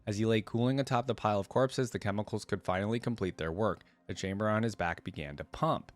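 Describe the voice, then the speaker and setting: A man's voice: on the low side, fast talker. A man hosting a reaction video, reading stories aloud and commenting on them in a casual, conversational way.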